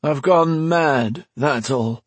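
A man voicing a wounded, delirious young man, raspy and out of breath with pain. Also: sits high, very animated, slow, no room sound, voice pushed up, heavily acted. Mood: anguished. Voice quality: raspy, wheezing, strained.

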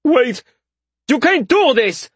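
Panicky young male soldier acted by a male narrator, pitch pushed well up, pleading and protesting, fantasy audiobook. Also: animated, slow, breathy edge, no room sound, heavily acted. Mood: desperate. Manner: shouting, panicky. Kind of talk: audiobook character dialogue.

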